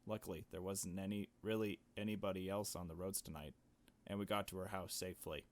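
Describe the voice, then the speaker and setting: A man's voice: sits low, steady tone, brisk. A man reading a visual novel aloud and commenting casually in a slangy, informal way. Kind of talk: casual gameplay commentary and narration.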